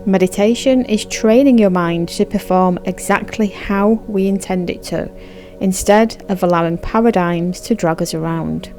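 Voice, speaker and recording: A woman with a dark, mellow voice leads a guided meditation. She speaks in a soothing, measured way, giving gentle instructions at a medium pitch. Music plays clearly behind her voice. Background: background music.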